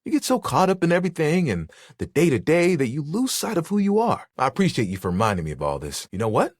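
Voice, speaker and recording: Laid-back flirty towel dude from a video game, super expressive pitch, close dry sound. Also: male voice, fast talker, dry recording. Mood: reassured, sincere, then hopeful, tender. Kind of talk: video game character acting.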